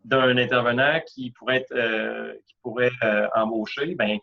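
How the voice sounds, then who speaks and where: A man's voice: medium pitch, steady tone, a little slow, vocal fry. A man with a Québécois French accent, hosting a casual interview, agreeing with his guest and summarizing her points with some hesitation. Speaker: host. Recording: dull lo-fi audio.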